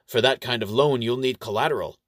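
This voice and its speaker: A man's voice: medium pitch, quick pace, fairly bright tone, dry recording, clean studio sound, close to an everyday voice. A man voicing a suspicious male dwarf bank clerk with a blunt, probing manner in a fantasy audio story. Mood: businesslike.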